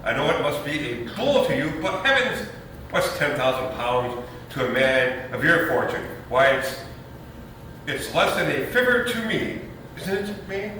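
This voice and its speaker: A man's voice: a little high, very animated, unhurried, pushed and bright, full character voice. An actor playing a brash, scheming young father in a readers theater comedy, glib and emphatic. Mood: jaunty. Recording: echoey hall, distant mic, faint noise.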